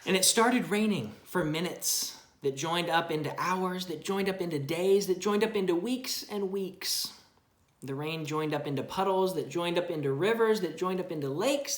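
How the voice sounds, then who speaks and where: A woman's voice: on the low side, moderate pace, reverberant. A woman reading a children's Bible story aloud expressively, chatting with a young child and asking simple questions.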